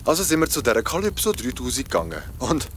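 An actor playing a naive, eager young Swiss sailor in a radio play, casual and easily flustered. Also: male voice, mid-range voice, huge pitch swings, fast talker, a little hiss or hum. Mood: defensive, excited.

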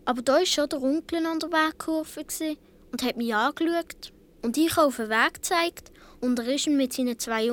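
High-voiced Swiss boy, acted by a woman. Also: close to an everyday voice. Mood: earnest, tense. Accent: Swiss German. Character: seven or eight year old Swiss boy recalling his adventures. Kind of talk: radio play narration.

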